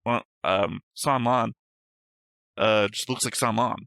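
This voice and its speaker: A man's voice: on the low side, fairly even intonation, slow pace, vocal fry, a bit bright, no room sound, clean studio sound. A man hosting a reaction show, reading notes aloud in casual chat and often correcting himself.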